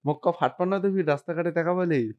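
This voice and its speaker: A man's voice: on the high side, racing, dull audio. A young man with a North Bengal accent in a casual, teasing phone chat, often repeating himself as a YouTube prankster.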